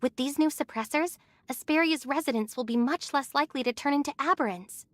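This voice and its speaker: A woman voicing a gentle, reassuring young woman with a polite, earnest manner in an English anime game. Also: high-pitched, fairly quick, no room sound. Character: gentle, reassuring young woman returned home after suffering.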